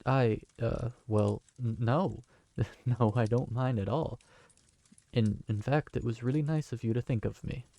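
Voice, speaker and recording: A man's voice: medium pitch, a little slow, creaky, warm tone, no echo. A man voicing a kind, awkward tavern keeper who talks in a hesitant, friendly way. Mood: grateful. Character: kind, awkward young male tavern keeper named Briar. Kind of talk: fantasy audio roleplay.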